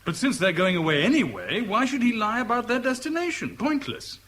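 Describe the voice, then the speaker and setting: A man's voice: very high voice, big pitch swings, fairly quick, a bit bright, exaggerated voice. An actor in a vintage radio drama playing a lovesick, self-pitying man in his forties, wry and intense. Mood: puzzled. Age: 40s. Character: lovesick, self-pitying forty-year-old English businessman. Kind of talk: 1970s British radio drama acting. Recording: faintly hissy vintage radio drama recording.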